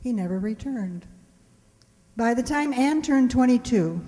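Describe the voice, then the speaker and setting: Female presenter, prepared introduction, soft dark tone, reverberant hall. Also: mid-range voice. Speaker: presenter introducing a stage performance.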